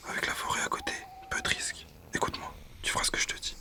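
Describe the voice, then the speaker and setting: An actor playing a determined young prisoner, a bossy older brother who whispers urgently and secretively in an audio drama. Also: male voice, racing, lightly acted. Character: determined twenty-year-old prisoner, bossy older brother plotting escape.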